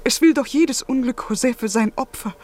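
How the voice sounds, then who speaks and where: A woman's voice: on the high side, normal speed, darkish tone, lightly acted. An actress playing a caring, cautious woman in a 1950s German radio drama, speaking earnestly and pleadingly. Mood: anxious warning.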